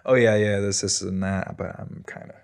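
Young man, very low voice, podcast host, relaxed follow-up questions, desk mic. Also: normal speed, vocal fry. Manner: conversational, pushes back, frequent "mm-hmm". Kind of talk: interview questions. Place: small podcast studio. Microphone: desk microphone.

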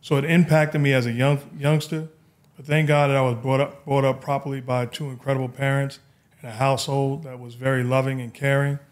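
A middle-aged man with a New York accent, hosting a casual podcast chat and telling stories in a relaxed, reflective way from a high-rise studio on a boom mic. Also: fairly quick. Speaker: podcast host. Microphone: studio mic on boom arm.

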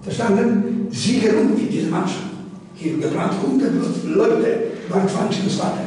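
A very echoey, distant recording of a high-voiced man guiding a tour. He is a non-native speaker and talks in short, choppy phrases, often repeating his instructions. Clear noise surrounds his voice. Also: measured pace, warm tone. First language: not German. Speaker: tour guide. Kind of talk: improvised guided tour talk in broken German. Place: inside an underground concrete bunker. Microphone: visitor's handheld camera, at a distance.

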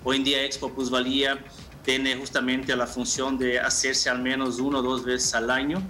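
Bright-voiced man in his thirties explaining. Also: medium pitch, monotone, moderate pace, a little hiss or hum. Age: 30s. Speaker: marketing manager, interview guest. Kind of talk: informal explanatory interview answers. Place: indoor room, on a video call. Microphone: video call.

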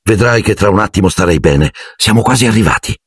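A man voicing a clever, sharp-tongued schoolgirl in a tart, earnest tone. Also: on the low side, expressive, fast, slightly rough, dry recording, studio quality. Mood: reassuring.

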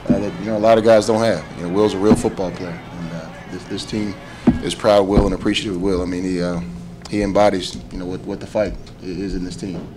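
A man in his thirties speaks as a football coach at a postgame press table. He talks fast and halting, with a low voice. The recording carries clear background noise. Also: little pitch movement. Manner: quick, halting, many "you know" fillers. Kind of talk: postgame press conference answers. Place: press room before a sponsor backdrop. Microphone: table mic in front.

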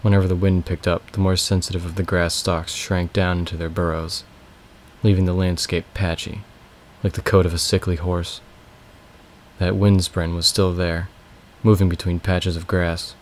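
A young man with a raspy voice, reading a fantasy novel aloud as a home audiobook from his bedroom. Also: sits low, slight background noise. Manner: slow, casual intro then steady reading. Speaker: amateur audiobook reader. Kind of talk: audiobook narration.

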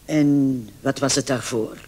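A man playing a brisk, condescending emergency doctor on night duty in a vintage radio play. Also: mid-range voice, fairly even intonation, a little mellow, natural voice. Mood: clinical, matter-of-fact. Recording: old radio recording with clear hiss.

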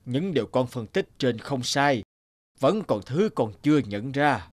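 A man playing a wise, patient elderly father in a cartoon, measured and instructive as he gives advice. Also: mid-range voice, animated, dry recording, studio quality, natural voice. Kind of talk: cartoon dialogue and storytelling. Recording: background music under speech.

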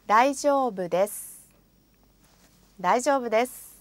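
A woman with a fairly high voice models phrases for a language lesson. She says each line with careful pronunciation and then repeats it. The recording is dry and close. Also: slow pace, dry recording. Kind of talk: slow, repeated example phrases. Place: studio.

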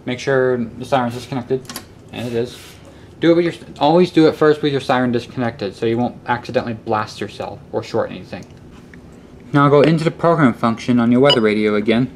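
Man, medium pitch, casual step-by-step tutorial, instructional, handheld camera sound, background noise with clicks. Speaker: host of a hobby electronics how-to video. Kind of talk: casual hands-on tutorial narration. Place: cluttered indoor workshop or utility room. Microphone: handheld camera microphone.

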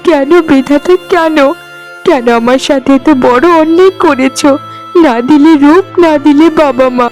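Sad young orphaned sister a woman acting, cartoon melodrama, high plaintive voice over soft music. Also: measured pace. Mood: despairing. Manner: plaintive, earnest. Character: sorrowful, unloved young orphaned sister awaiting marriage.

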